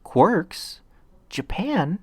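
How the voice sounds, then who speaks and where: A man's voice: sits high, very animated, very slow, breathy edge, no room sound, pitched above the performer's own voice, full character voice. A man voicing a weak, grateful, confused young woman in a narrated story, hesitant and polite. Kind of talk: acted audiobook dialogue.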